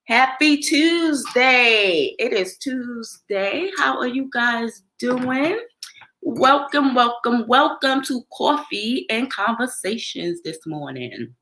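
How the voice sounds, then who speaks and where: Woman host, New York accent, slow and bright, casual upbeat livestream chat. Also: on the high side, lively intonation. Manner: drawn-out, upbeat greetings.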